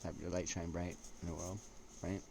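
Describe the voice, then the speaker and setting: A man with a low voice chats as a vlog host. His delivery is laid-back and halting, drifting between casual asides and read-out lines, with frequent little check-ins. Clear ambient noise runs behind him. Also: flat delivery. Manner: laid-back, halting, often checking in with "right?" and "you know". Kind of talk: rambling casual chat with read-out quotes. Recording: clearly noisy recording with ambient sound.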